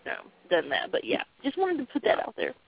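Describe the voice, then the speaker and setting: A woman co-hosting a wrestling talk show, playful and quick to laugh as she chimes in. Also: high voice, wildly swooping pitch, vocal fry. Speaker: podcast co-host. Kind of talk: casual radio-show banter. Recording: tinny phone-like line.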